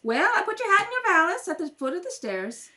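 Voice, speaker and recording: Woman playing older housemaid, wry, deadpan, slangy, radio comedy, bright tone. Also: very high voice, a little fast, exaggerated voice. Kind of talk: 1930s radio comedy read-through. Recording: home recording at kitchen table.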